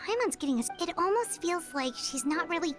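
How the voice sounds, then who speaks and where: A woman voicing a small, childlike fairy companion in a video game, chatty and expressive, with a squeaky voice. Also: brisk. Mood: pensive, concerned. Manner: refers to self by name, expressive. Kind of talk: video game character acting. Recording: game music behind the voice.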